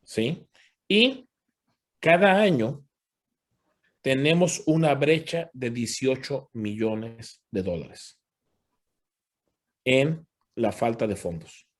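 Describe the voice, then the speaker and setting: A man serves as interpreter for an online parents' meeting in a mid-range voice. He moves quickly between Spanish and English and fills gaps with "este" and "pues". He renders the other speakers' points with warm agreement. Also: mid-range voice, expressive. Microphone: video call.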